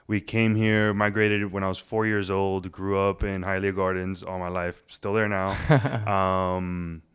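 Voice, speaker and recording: A man in his twenties, born in Cuba, talking casually as an interview guest with a relaxed, rambling manner and many fillers. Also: low-pitched, unhurried, clean tone. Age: 20s. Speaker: guest, realtor.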